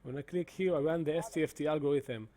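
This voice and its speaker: Halting man with a mellow voice. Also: on the high side. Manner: halting, many fillers and restarts. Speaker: presenter demonstrating software. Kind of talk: screen-recorded explanation.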